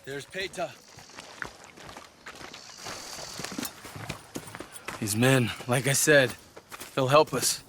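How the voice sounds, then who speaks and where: A man's voice: medium pitch, unhurried, background noise, natural voice. A man playing a proud young chief's son in a western game, speaking earnestly and formally. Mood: resolute. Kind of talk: cinematic western game acting.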